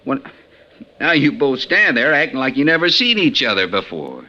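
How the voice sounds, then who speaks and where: An actor playing a brash, boastful, ruthless gold robber in an old-time radio western, with a talkative, folksy, blunt and menacing way of speaking. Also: male voice, medium pitch, wildly swooping pitch, moderate pace, sharp tone, full character voice. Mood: gruff, easygoing. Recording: lo-fi 1950s radio recording, faint noise.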